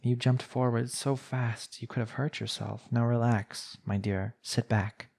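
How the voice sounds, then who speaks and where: Man, ASMR villain roleplay, smug and soothing, very slow hypnotic delivery, gravelly creak. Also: medium pitch, fairly even intonation, a little mellow. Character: smug, coaxing young male villain hypnotist.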